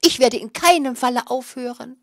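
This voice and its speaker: A woman's voice: high-pitched, normal speed, no room sound, clean studio sound, voice pushed up. A woman voicing a small thin female dancing mouse, childlike, singsong and pleading. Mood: carefree, defiant.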